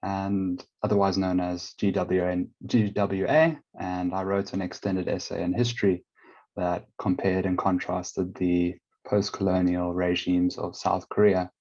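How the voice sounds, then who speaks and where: A young man from South Africa introducing himself on a panel, brisk and polite. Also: low voice, flat delivery, darkish tone. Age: young adult. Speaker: panelist, alumnus teacher. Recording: dull lo-fi call audio.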